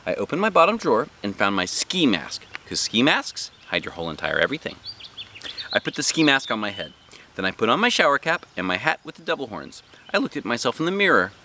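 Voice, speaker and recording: A man hosting a read-aloud, narrating a children's storybook in an animated style. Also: mid-range voice, brisk, slight background noise.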